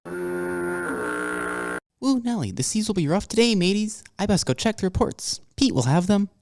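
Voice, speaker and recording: A woman's voice: rumbling low, huge pitch swings, a little slow, a little mellow, dry recording, clean studio sound, pitched below the performer's own voice, exaggerated voice. A woman doing a salty sea-loving student. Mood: breezy. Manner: nautical slang. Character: young woman marine biology student, salty sailor talk.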